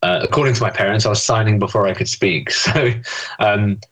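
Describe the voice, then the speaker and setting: A man's voice: fairly low pitch, fairly quick, fairly bright tone. A young man answering interview questions thoughtfully, with many fillers and restarts. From England. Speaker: interview guest.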